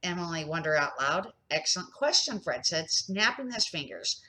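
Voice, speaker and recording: Animated middle-aged or older woman reading stories. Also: deep voice. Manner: amateur, deliberate read-aloud. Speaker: storybook reader and series host. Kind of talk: children's story narration.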